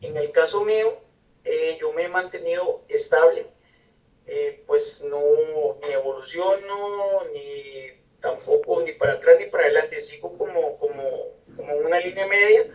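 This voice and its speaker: A man giving informal testimony as an interviewee, pausing and searching for words. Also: fairly high pitch. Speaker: interviewee in a research project. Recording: echoey room, distant muffled mic.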